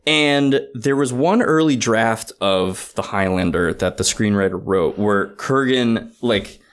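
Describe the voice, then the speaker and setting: A man's voice: fairly low pitch, a little slow, clean tone. A man co-hosting a casual film discussion, earnest and thinking aloud as he goes. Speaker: podcast co-host.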